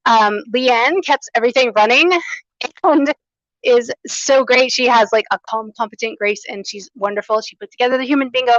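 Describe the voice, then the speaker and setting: A woman's voice: a little high, normal speed, no room sound, highs cut off. Enthusiastic woman host with a big voice. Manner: upbeat, enthusiastic, loud unamplified voice. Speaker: conference coordinator and host. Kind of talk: welcoming remarks and housekeeping announcements. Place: small conference room. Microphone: no microphone, room recording.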